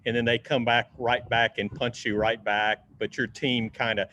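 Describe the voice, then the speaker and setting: A man's voice: medium pitch, level tone, normal speed, bright, forceful tone, highs cut off. Rambling male reporter, faint noise behind. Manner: rambling, repeats phrases.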